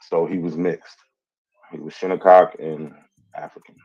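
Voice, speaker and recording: A man talking casually through his family records, hesitant and pausing often on fillers. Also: low-pitched, little pitch movement, a little mellow, breathy edge, lo-fi sound. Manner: hesitant, many filler pauses. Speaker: amateur genealogist. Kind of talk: casual explanatory talk.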